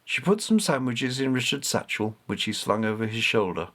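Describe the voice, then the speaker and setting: A man reading a children's novel aloud as narrator, measured and expressive. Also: mid-range voice. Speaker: storyteller.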